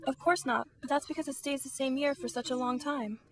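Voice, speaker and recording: A woman's voice: high voice, fairly even intonation, fairly quick, crowd noise, natural voice. A woman playing a prim, inquisitive and outspoken young girl in an amateur audio drama. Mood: curious, puzzled. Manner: prim, questioning.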